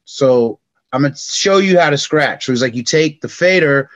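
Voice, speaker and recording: Animated man telling a story. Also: on the high side, normal speed, no echo. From California, United States. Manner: animated, slangy, acts out quotes in raised voice. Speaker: podcast guest. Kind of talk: casual storytelling interview.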